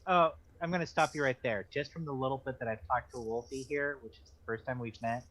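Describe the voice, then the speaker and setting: A man's voice: medium pitch, fast, fairly bright tone. A man in casual group banter, joking in a sardonic, profane way as a panelist. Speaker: stream panelist.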